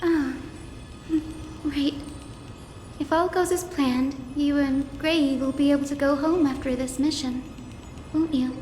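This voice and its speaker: Game casting: earnest young maiden of a sky clan, gentle and courteous, fairly high, in a reverberant hall. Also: female voice, fairly even intonation, takes it slowly, long pauses. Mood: friendly, playful. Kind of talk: video game voice acting. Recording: echoey hall reverb, music underneath.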